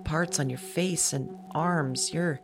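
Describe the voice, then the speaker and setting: An intimate audio drama monologue from a woman playing a frightened, world-weary outcast. She speaks haltingly and confessionally, circling back on her own words, with clear music underneath. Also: deep voice. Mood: awed, stunned. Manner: halting, repeating herself, confessional. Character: frightened, self-declared old, mad, broken woman, awed dreamer. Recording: music behind the voice.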